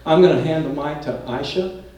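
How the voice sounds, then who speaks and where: An older man introduces his panel, reflective and good-humored, his voice pitched a little high for a man. He sounds far from the mic in a strongly echoing hall, with faint noise underneath. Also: fairly quick, mellow, dull audio. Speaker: event host. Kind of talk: introductory talk to an audience. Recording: echoey room, distant mic.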